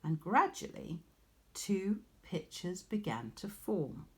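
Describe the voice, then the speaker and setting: A woman's voice: fairly low pitch, sing-song, slow pace, darkish tone. A woman with an English accent reading a story expressively to children, as a teacher would.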